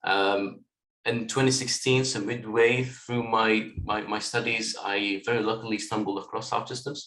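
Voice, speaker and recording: Low-voiced man in a roomy echo. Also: normal speed. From Lebanon, raised in Kuwait. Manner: relaxed, thoughtful, with frequent fillers. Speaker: guest, software tech lead. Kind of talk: interview conversation.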